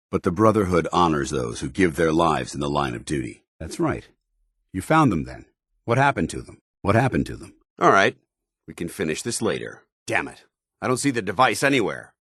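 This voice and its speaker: An actor playing a stern, honorable soldier in a video game, with a gruff voice and a clipped, formal, earnest delivery. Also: male voice, deep voice, fairly bright tone, dry recording, clean studio sound, full character voice. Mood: grim, commanding, then angry, stern. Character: stern, duty-bound military paladin, gruff and honorable adult man. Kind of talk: video game companion dialogue.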